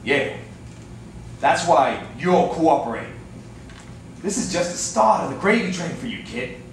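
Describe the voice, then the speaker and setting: A man's voice: a little high, very animated, measured pace. Man playing a bossy fight fixer, very echoey. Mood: condescending, insistent. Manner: wheedling yet menacing, condescending. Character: scheming male fight fixer, bossy, smooth, condescending. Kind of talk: amateur staged play reading. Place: echoey indoor room, staged reading. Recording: distant echoey room, faint noise.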